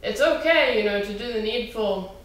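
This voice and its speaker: A woman's voice: a little high, normal speed. Distant woman questioner in a reverberant hall. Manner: hesitant, with many fillers. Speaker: audience member asking a question. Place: temple hall. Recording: distant mic in a very echoey hall.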